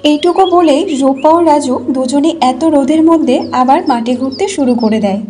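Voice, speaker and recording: High, mellow-voiced woman storyteller. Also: brisk, a little hiss or hum. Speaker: narrator. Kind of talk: scripted children's fairy-tale narration.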